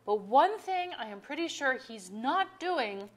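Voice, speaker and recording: A woman's voice: high-pitched, very animated, exaggerated voice. A woman acting a middle-aged wife in a staged play reading, dry, articulate and wearily exasperated. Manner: dry, articulate, deliberate phrasing. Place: auditorium.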